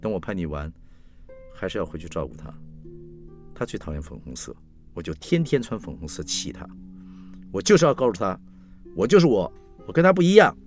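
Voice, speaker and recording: A man's voice: very deep voice, sing-song, a little fast, long pauses, soft background music, pitched below the performer's own voice, heavily acted. A man voicing a brazen, flirty, rebellious woman of forty in dramatised storytelling, teasing and blunt.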